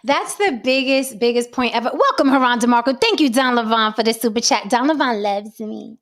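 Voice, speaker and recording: A woman's voice: fairly high pitch, brisk. A woman hosting a casual livestream chat, blunt and profane. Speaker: host.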